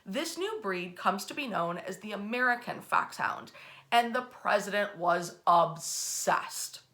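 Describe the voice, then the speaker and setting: A woman with a bright, crisp-edged voice at an average pitch tells history as a storyteller. She is animated and informal, stressing words for dramatic effect. Wry, colloquial asides break up the narration. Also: measured pace. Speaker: host and storyteller of a history series.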